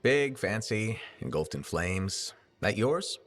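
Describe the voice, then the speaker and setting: A man's voice: fairly low pitch, big pitch swings, takes it slowly. A man voicing a dry, easygoing male mercenary freelancer in a video game.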